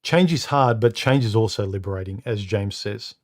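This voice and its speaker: A man with a deep, somewhat muffled voice hosting a live stream from home into a microphone, talking casually and haltingly, repeating words and filling pauses with uh and um. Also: a little mellow. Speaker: live stream host reading comments. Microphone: studio microphone.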